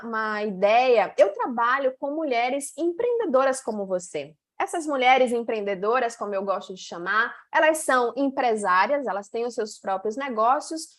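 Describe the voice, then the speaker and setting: A middle-aged Bahian woman leads an online masterclass as a mentor. Her voice sits a little high, and she often pauses and adds small checks to keep listeners with her. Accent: Bahian Brazilian Portuguese. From Salvador, Bahia, Brazil. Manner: frequent "né" and "tá" tags, pauses and drawn-out stretches. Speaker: women's mentor.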